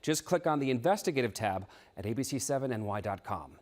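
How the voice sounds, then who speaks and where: A male investigative reporter speaks in a television news style, presenting and interviewing. His mid-range voice rises and falls in an animated way. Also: medium pitch, fast.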